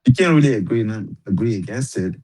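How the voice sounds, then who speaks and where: A man hosting a podcast as casual chat, speaking in slang and haltingly, often repeating his words. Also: lowish voice, lively intonation, warm tone. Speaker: podcast host.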